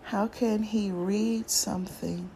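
A woman's voice: medium pitch, steady tone, slow, warm tone, slight background noise. A woman hosting a casual solo podcast, talking in a loose, musing way with slang and thinking-aloud restarts. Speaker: podcast host.

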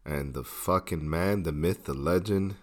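A man's voice: low-pitched, measured pace. A man co-hosting a podcast, chatting loosely and playfully with plenty of swearing. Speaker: podcast co-host. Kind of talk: casual podcast chat.

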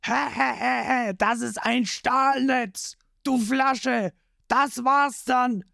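A man puts on a boastful armored pirate captain from a comic, pitched well above his own voice and sneering with each taunt. The delivery is slow and drawn out, in a dry, close sound with no room around it. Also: steady tone, gravelly, pushed and bright, no echo, full character voice. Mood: gloating.

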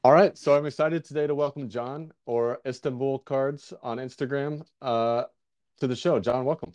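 A man's voice: medium pitch, fairly even intonation, normal speed, a little mellow, no room sound. A man hosting a casual interview podcast, talking in an easygoing, rambling way with many restarts. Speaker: podcast host.

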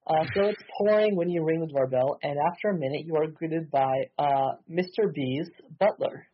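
A man's voice: on the high side, normal speed, highs cut off, a little hiss or hum. A man narrating as game master over a voice call, hesitant with many ums and restarts.

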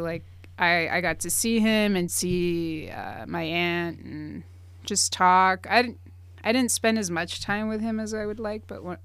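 Gravelly woman, relaxed chat. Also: lowish voice, no room sound. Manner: relaxed, reflective, many fillers. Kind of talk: casual conversation.

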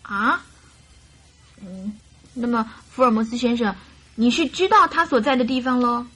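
A woman's voice: fairly high pitch, normal speed, pushed and bright, slightly rough. A woman playing a naive, devoted young lady in distress in a radio drama, pleading and girlish. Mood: anxious pleading. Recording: radio drama with music behind.

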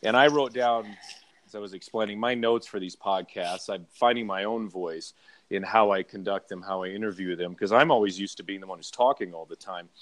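A man's voice: fairly low pitch, a little fast, a bit bright, no echo. A man hosting a podcast from his home in the mountains, chatting with a guest in an enthusiastic, rambling way. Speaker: podcast host. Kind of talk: casual interview chat.

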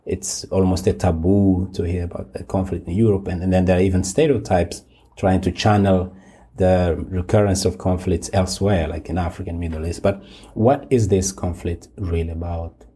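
A man hosting and moderating a panel discussion, speaking haltingly with many restarts. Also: low-pitched, steady tone, mellow, husky. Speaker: host and moderator.

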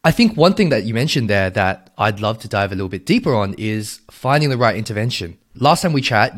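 A man hosting a podcast interview, introducing his guest and asking upbeat, friendly questions. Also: fairly low pitch. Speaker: podcast host.

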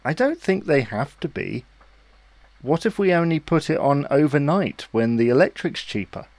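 An actor playing a patient, reasonable husband in a domestic audio drama, with a gentle, reassuring, persuasive manner. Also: male voice, on the high side, big pitch swings, moderate pace, no echo. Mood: gently persuading. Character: Harry, patient, reasonable husband and new father, coaxing.

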